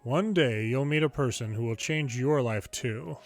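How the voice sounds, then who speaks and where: A man reading story dialogue aloud from a video game in a playful way, switching pitch between characters and adding his own commentary. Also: unhurried. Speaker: longplay host reading a game's dialogue aloud. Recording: music behind the voice. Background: game music.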